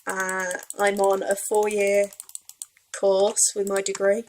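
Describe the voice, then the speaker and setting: A woman's voice: medium pitch, completely flat delivery, slow pace, darkish tone, a little hiss or hum. A young woman from Wales with a Welsh accent touched by Yorkshire, rambling and self-deprecating as she chats over her gameplay. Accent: Welsh, with some Yorkshire creeping in. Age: young adult. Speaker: let's play gamer. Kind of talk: casual rambling gameplay commentary.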